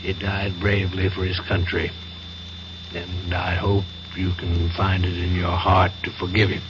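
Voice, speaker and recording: A man's voice: low voice, monotone, a little slow. An actor playing a solemn, compassionate colonial clergyman, grave and earnest in a 1970s radio drama style. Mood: grave, pleading. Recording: muffled, noisy old radio broadcast with hum.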